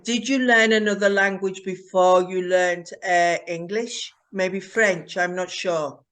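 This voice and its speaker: A woman hosting an English-learning interview, asking slow, clear, teacherly questions over a video call. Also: fairly low pitch, steady tone, a bit bright. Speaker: host.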